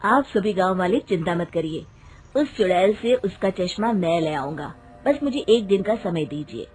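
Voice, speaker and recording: A woman's voice: on the low side, lively intonation, normal speed, darkish tone, faint music behind, lightly acted. A woman voicing a confident village spectacle seller, a man, in a cartoon story with a persuasive, reassuring manner.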